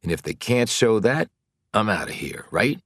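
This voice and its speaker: A man's voice: fairly low pitch, big pitch swings, moderate pace, dry recording, studio quality, pitched above the performer's own voice. A man voicing a bitter, wrongly convicted former football star in an audiobook, blunt, slangy and frustrated. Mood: defensive. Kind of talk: dramatic audiobook character dialogue.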